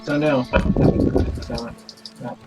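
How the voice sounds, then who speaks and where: A man's voice: mid-range voice, fairly even intonation, very slow, low-quality recording. A man chatting casually as a crewmate during a game stream. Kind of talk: casual chat while gaming. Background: loud game music.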